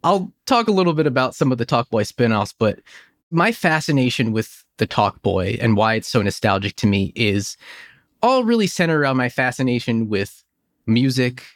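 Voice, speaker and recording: A man's voice: mid-range voice, lively intonation, moderate pace, dry recording, studio quality. A man in his thirties, a podcast host, chatting casually and nostalgically in an enthusiastic, rambling way. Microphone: close studio microphone in home office.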